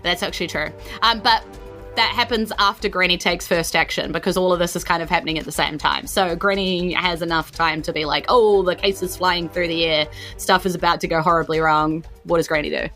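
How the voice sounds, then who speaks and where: A woman with a New Zealand accent, working as a game master and host, improvising narration and chatting informally in an animated way. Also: lowish voice, a little fast, faint music behind. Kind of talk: improvised tabletop game narration and live-stream hosting.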